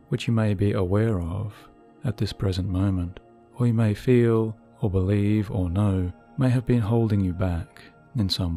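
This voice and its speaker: A man leads a hypnotic guided meditation with a fairly deep, mellow voice. He speaks in measured, deliberate phrases meant to soothe. Quiet music plays softly behind him. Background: soft background music.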